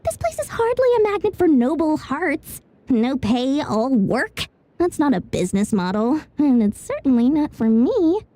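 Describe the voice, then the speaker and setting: A woman's voice: high voice, very animated, normal speed, warm tone, no room sound. A woman voicing a greedy, chatty young female merchant in a video game, talking in a theatrical, salesy way. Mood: gossipy, scheming. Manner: theatrical, salesy, self-promoting. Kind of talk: video game character acting. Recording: music under the voice.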